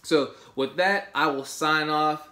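An enthusiastic man in his thirties giving a casual fan review of a game from his desk. His voice sits a little on the high side, and the small room around him sounds noticeably echoey. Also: slow. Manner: enthusiastic, animated, gestures while talking. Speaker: sports podcast host. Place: small home office, indoors.

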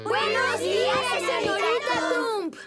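A performer voicing an excitable, exclamatory little rabbit schoolchild in a cartoon. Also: very high-pitched, slow, a loud crowd. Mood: excited. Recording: loud music behind the voice.

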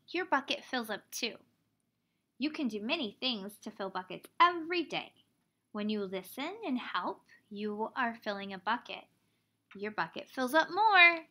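A woman in her thirties reading a children's picture book aloud like a teacher, expressively, pausing to explain things simply for young children. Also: high-pitched, pushed and bright. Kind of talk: children's storybook read-aloud.

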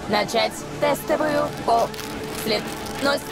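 A man voicing a mechanical cartoon laboratory computer that stutters on syllables, with loud music behind. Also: very high voice, slow pace. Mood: flat, glitching. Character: glitching laboratory computer announcing test sequences.

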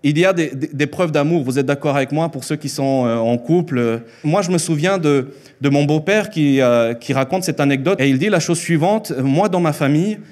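A man in his thirties, born in Mexico with Spanish as his first language, preaching an earnest sermon in a church hall into a handheld mic, repeating phrases for emphasis and slowing down on key lines. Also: a little high, darkish tone.

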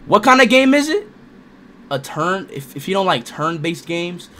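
A young man livestreaming a game, excitable and loud, talking straight to his chat and repeating himself. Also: high-pitched, normal speed. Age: young adult. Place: bedroom streaming setup, indoors.

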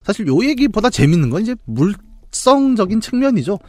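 Man, quick, explaining at length, lively pitch, dry close studio mic. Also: dry recording. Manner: quick, explaining, self-correcting. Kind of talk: explanatory talk show discussion. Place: small radio studio. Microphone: studio mic with headphones.

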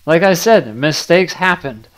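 Unhurried young man, gaming host. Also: a little high, expressive, a bit bright. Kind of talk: casual gameplay commentary and banter.

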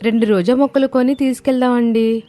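A woman voicing a polite, eager young Telugu wife in an animated moral story. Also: a little high, brisk, faint music behind, natural voice.

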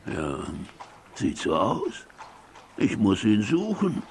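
A man's voice: on the low side, wildly swooping pitch, slow, a little mellow, soft background music, full character voice. A man playing a bumbling but kind-hearted sidekick in a children's audio drama, hesitant and simple-minded.

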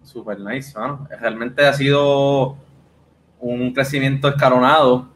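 Young male podcast host, Puerto Rican accent, mid-pitched voice, informal, close studio mic, faint background noise. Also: fairly even intonation, measured pace, fairly bright tone. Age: young adult. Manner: informal, colloquial. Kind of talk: casual interview. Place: indoor room on a video call. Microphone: studio microphone on a boom, headphones.

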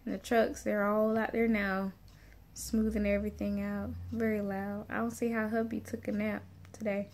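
Slow-talking woman, casual. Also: mid-range voice. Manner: informal, folksy, conversational. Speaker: family vlogger. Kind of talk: casual chat to camera. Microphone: phone camera at arm's length.

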